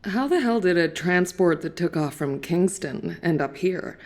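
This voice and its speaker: Dominic, stern gravelly soldier. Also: female voice, fairly low pitch, expressive, unhurried, darkish tone. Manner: blunt, clipped, serious. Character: stern, no-nonsense female recon squad soldier, Dominic. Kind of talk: video game dramatic acting.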